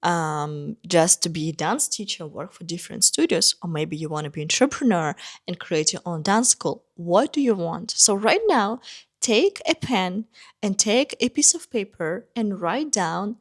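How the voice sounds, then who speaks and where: A woman's voice: fairly low pitch, expressive, unhurried. A young woman, a dancer and teacher, giving an earnest, encouraging talk to the camera in short listed phrases. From Kazakhstan. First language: Russian.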